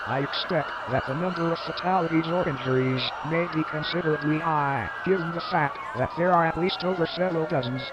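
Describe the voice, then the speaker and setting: Guy playing a male reporter live on scene, bit higher voice, formal careful wordy, really loud sirens n traffic behind him. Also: highs cut off, close to an everyday voice. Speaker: field reporter. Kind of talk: scripted live news report. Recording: loud street noise with police sirens. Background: sirens, emergency vehicles, outdoor traffic.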